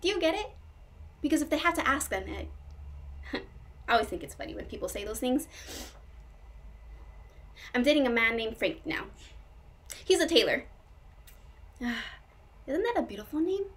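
A woman acting a whimsical, dreamy, high-voiced woman. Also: big pitch swings, normal speed, pauses often, a bit bright. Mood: amused, quirky. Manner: quirky, chatty, confiding, effusive. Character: whimsical, dreamy, melancholy woman, swinging between joy and sadness. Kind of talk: comedic stage monologue.

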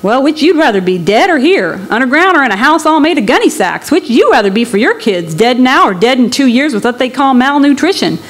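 Woman voicing a high, impassioned migrant farmer man. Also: big pitch swings, a little fast, few pauses. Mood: agitated, defiant. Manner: folksy rural dialect, pleading and impassioned. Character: desperate, hungry male Okie migrant farmer, longing for land. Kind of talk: storybook character in a literary reading.

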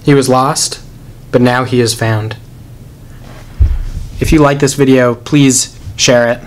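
A man's voice: medium pitch, slow. A young man in his twenties talking casually while fishing from a waterfront dock, filmed on an action camera. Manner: excited, frustrated. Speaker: fishing vlogger. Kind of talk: casual vlog narration. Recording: outdoor camera with ambient noise.

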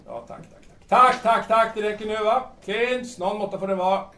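In a distant, very echoey recording with faint noise, a man plays a grandiose young male playwright in an audio drama. His voice sits fairly high. He is agitated and theatrical, circling back over his own words. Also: pushed and bright. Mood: irritated, jealous. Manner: agitated, theatrical, repeats himself.

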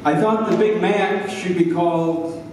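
A man's voice: high voice, a little slow. An older man doing rambling, folksy stand-up on a small stage, often checking in with his audience. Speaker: stand-up comedian at an open mic. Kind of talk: stand-up comedy. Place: small bar stage, indoors. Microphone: handheld mic through speakers, recorded by a far camera. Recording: echoey room, distant, noisy.

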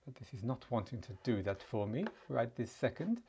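A man's voice: medium pitch, big pitch swings, normal speed, gravelly, warm tone, slight background noise. A man in his forties hosting a live chat stream from a small home studio, answering questions with a chatty, friendly manner and plenty of "uh" fillers. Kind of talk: live-stream Q&A, casual teaching. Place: small home studio with speaker and acoustic panels.

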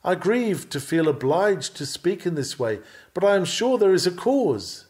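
Higher-pitched man reading a sermon. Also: very animated, a little mellow. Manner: earnest, emphatic, measured preaching delivery with formal phrasing. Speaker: reader of a sermon text. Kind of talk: sermon read aloud from a written text.

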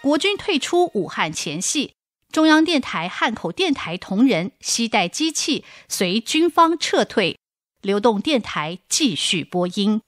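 Animated woman narrator, dry studio sound. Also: on the high side, unhurried, dry recording, faint music behind. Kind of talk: scripted historical narration for radio drama.

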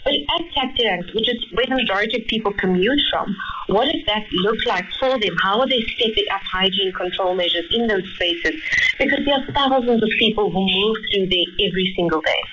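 A woman's voice: mid-range voice, brisk. A woman journalist with a South African accent, speaking conversationally in a news interview over shortwave radio. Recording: dull shortwave radio with noise.

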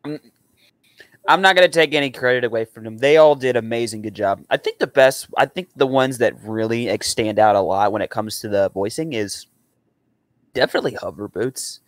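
A man's voice: mid-range voice, brisk, no room sound. A young man chatting casually and earnestly in a rambling reaction at home. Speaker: reactor and amateur voice actor. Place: small room at home.

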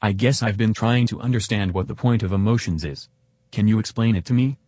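A man's voice: fairly low pitch, fairly quick, warm tone, dry recording, clean studio sound, close to an everyday voice. A man voicing an earnest, agreeable, curious chatbot companion in a reenacted interview.

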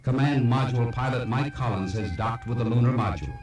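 A man's voice: mid-range voice, brisk, darkish tone, recorded from a distance, faint music behind. A man giving dramatic, scripted documentary narration. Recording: noisy, echoey cassette playback from afar.

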